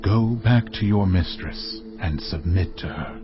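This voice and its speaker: Calm angel messenger, very deep, slow and solemn, scripture drama, music behind. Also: male voice. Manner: measured, solemn, authoritative. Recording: slightly dull audio, music behind. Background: background music.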